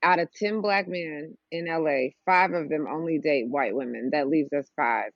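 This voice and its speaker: A woman's voice: low voice, normal speed, dry recording. Woman on slightly muffled, dry audio. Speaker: comedian, podcast guest. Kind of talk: casual chat.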